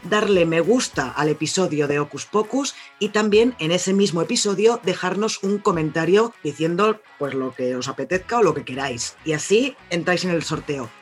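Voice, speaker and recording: A man's voice: high voice, lively intonation, moderate pace, few pauses, faint music behind. A man with a Spain Spanish accent hosting a casual film podcast, chatty as he steers the talk and announces a giveaway. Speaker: podcast host.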